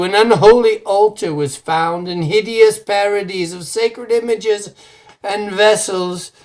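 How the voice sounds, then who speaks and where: Slow, ominous male storyteller played by an actor. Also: sits high. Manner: formal, deliberate, dramatic storytelling. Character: grave, ominous man recounting a dark family legend. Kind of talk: old-time radio horror acting.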